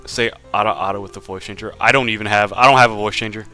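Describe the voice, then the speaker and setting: Young guy streaming while he draws, bright voice, keeps tripping over his words, faint music behind. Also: lowish voice, fairly even intonation, fast, smooth. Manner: stammering, repeats words, laughs often. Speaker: streamer drawing live. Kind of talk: casual live-stream chat reading viewer comments.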